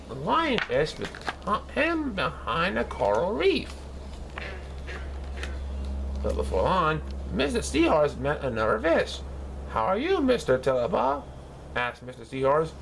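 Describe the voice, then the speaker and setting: Childlike cartoon sponge, wildly swooping pitch with a creaky edge, eager storybook reader. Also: male voice, fairly bright tone, a little hiss or hum, full character voice. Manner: eager, exclamatory, stumbling over words. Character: excitable, childlike yellow cartoon sea sponge reading a storybook. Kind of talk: children's storybook read-aloud in character.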